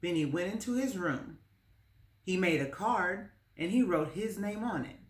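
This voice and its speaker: A woman reading a children's story aloud as narrator, in a measured, expressive storytelling manner. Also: on the low side. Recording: roomy, echoing space.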